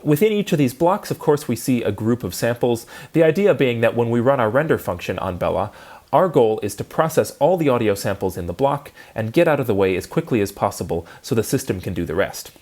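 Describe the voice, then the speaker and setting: A man giving a prepared technical lecture, explaining clearly and methodically step by step. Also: medium pitch, lively intonation, brisk, a little mellow.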